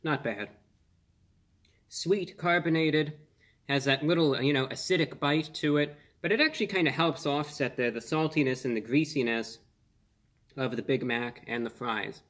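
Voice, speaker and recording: A man in his twenties hosting a casual food review on camera, speaking in an earnest, measured way with slightly formal phrasing. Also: medium pitch, a bit bright. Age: 20s. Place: small indoor room.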